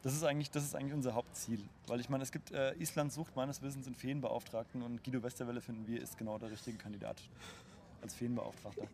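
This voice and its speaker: Fast-talking joking man outdoors. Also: medium pitch, steady tone, darkish tone, a little hiss or hum. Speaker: band member. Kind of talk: casual interview chat.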